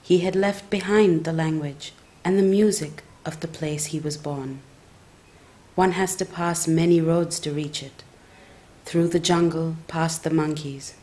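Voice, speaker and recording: A woman reading a scripted narration in a measured, even way. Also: sits low.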